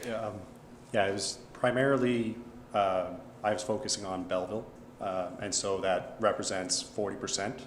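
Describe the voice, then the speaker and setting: Deep, hesitant man in an echoey hall. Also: steady tone, normal speed, a little hiss or hum. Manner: hesitant, filler-heavy. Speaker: petition organizer. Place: council chamber. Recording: echoey chamber from a distance.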